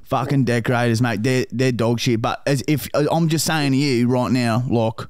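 Man doing a foul-mouthed Australian bogan, pushy and pleading, dry mid-pitched voice. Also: fairly even intonation, normal speed, darkish tone, smooth, no room sound, lightly acted. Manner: swears constantly, calls everyone mate and brother. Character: crude pushy bogan bloke, loud and pleading. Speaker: prank caller. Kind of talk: prank phone call.

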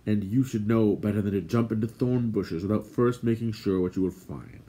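A fantasy storybook warrior lord played by a man, low in pitch. He speaks formally and with command, noble and gently stern. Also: moderate pace, close to an everyday voice. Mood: gently reproving.

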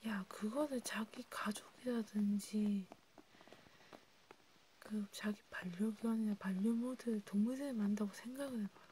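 A woman doing a chatty, intimate ASMR role play in a soft whisper, close to the mic. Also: mid-range voice, fairly even intonation, a little slow, low-quality recording. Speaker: ASMR creator. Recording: clear background noise with clicks.

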